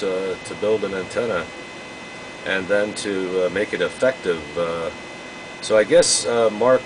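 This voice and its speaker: A man chatting casually as a hobby radio operator, rambling and haltingly repeating himself. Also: lowish voice. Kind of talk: casual radio contact chat. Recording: constant hum and noise behind voice.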